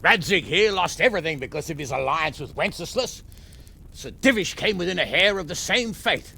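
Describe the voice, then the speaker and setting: An actor playing a cautious, practical medieval lord who speaks formally and decisively in a game cutscene. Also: male voice, on the high side, lively intonation, normal speed, gravelly, sharp tone, a little hiss or hum, exaggerated voice. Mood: sober objection. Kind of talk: dramatic game cutscene acting.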